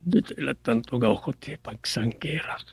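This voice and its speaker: A man with an Ilocano Filipino accent playing a musing, grumbling local man in a radio comedy drama, his voice husky and gravelly. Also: mid-range voice, normal speed, no echo. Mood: muttering.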